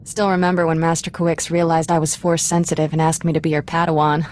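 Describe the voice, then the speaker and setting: A woman's voice: deep voice, level tone, fast, a little mellow, a little hiss or hum, lightly acted. A woman acting a sardonic young Jedi apprentice in a video game, with a casual, flippant way of talking. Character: sardonic young female Jedi apprentice, former street urchin.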